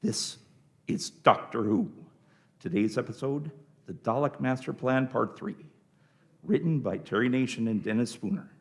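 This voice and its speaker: Animated, gravelly male radio play narrator. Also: medium pitch. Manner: theatrical, deliberate.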